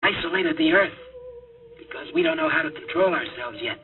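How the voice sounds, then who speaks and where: An actor playing a desperate, haunted rocket test pilot in a mid-century radio drama, speaking in an urgent, halting, earnest way. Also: male voice, fairly high pitch, wildly swooping pitch. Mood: dazed, grave. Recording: tinny narrow-band vintage radio broadcast, music.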